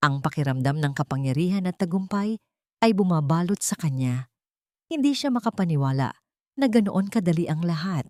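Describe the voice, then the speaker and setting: Dramatic woman storyteller, low dry voice. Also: animated, moderate pace, a little mellow, no echo. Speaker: story narrator. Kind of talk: dramatic scripted storytelling narration.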